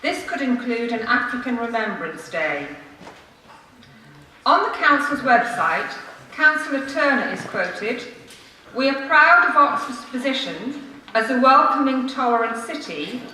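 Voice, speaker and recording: A woman reads a formal prepared speech to a council meeting, measured and earnest, with emphatic phrasing. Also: fairly high pitch, sing-song, sharp tone. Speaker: member of the public addressing a city council. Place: large council meeting room, indoors. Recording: echoey room from far off, faint noise.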